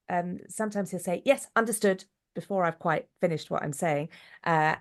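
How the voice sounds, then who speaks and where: A middle-aged woman giving a casual interview, telling stories in a chatty, animated way. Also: fairly low pitch. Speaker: interview guest, actress and director.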